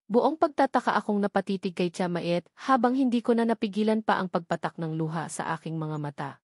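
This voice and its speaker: A woman narrates a novel in the first person. Her voice has a middle pitch, and the sound is dry, with very little room in it. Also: brisk, no room sound. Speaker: audiobook narrator.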